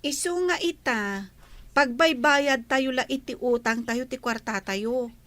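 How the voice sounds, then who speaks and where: An actress with an Ilocano accent playing an outspoken, reproachful young student daughter in a radio drama, scolding and complaining. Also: female voice, sits high, a little slow, fairly bright tone, no echo.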